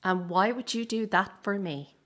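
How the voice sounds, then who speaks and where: A woman acting in a radio drama as a bitter, defiant young circus performer, confrontational, sarcastic and quick to swear. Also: medium pitch, a bit bright, close to an everyday voice. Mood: wary, guarded. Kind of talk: dramatic radio play dialogue.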